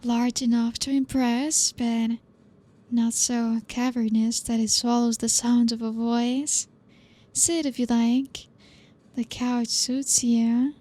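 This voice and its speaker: Woman doing a soft teasing vampire lady, possessive, slow and mellow, kinda flat asmr roleplay voice. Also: on the high side. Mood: teasing, hospitable. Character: elegant, teasing, possessive female vampire hostess.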